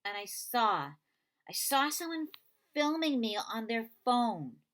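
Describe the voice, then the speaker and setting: A woman's voice: fairly high pitch, sing-song, slow, a bit bright, voice pushed up, full character voice. A woman reading a children's novel aloud, voicing an upset, bitter boy who speaks in exasperated, insistent outbursts. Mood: agitated, despairing.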